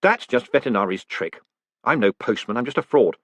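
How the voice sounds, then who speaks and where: A man voicing a panicked young male con man who talks in frantic, high-strung bursts. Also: expressive, moderate pace, a bit bright, slightly rough, dry recording. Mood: irritable.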